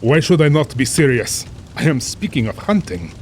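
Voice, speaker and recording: Over rain and ambience comes a man acting a middle-aged aristocratic general in a radio-style drama. He is urbane and cold-blooded, with formal diction and a pompous, amused air. His voice sits at a medium male pitch. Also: big pitch swings, moderate pace, slight background noise, close to an everyday voice. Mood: amused, proud.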